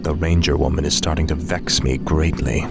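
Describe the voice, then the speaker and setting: Arrogant dark prince villain, deep menacing voice, game cutscene w music. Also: male voice, steady tone, normal speed, gravelly. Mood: frustrated. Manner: menacing, imperious. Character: cold arrogant young death knight prince, ruthless commander. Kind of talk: video game cutscene acting.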